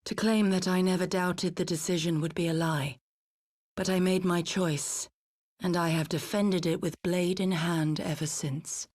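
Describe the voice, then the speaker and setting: Stoic, low-voiced warrior woman. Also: fairly even intonation, a little slow, a little mellow. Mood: solemn, resolute. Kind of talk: video game cutscene voice acting.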